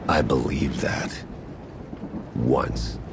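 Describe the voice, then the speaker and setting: An actor playing a bitter, coldly determined traitor in a cinematic video game, grim and measured. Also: male voice, rumbling low, fairly even intonation, gravelly, background noise, exaggerated voice. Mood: grim resignation. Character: male traitor spy, bitter, coldly determined former ally.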